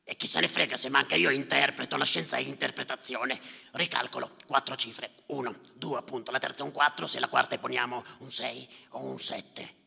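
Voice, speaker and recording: A gravelly, sardonic bureaucrat on stage. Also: male voice, mid-range voice, fairly even intonation, a little fast, bright, forceful tone, exaggerated voice. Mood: insistent, self-satisfied. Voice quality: gravelly, rasping. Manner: sardonic, contemptuous, obsessively repetitive. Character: callous, muttering male bureaucrat tallying drowned migrants. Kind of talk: theatrical stage monologue. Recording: reverberant hall, live stage.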